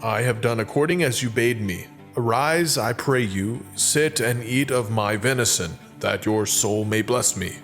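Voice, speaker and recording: An actor playing solemn men of scripture in a dramatized audio reading, with a measured, formal delivery. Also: male voice, medium pitch, a bit bright, lightly acted. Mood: dutiful. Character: solemn men of scripture: God, envoys, dutiful sons. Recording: music under the voice.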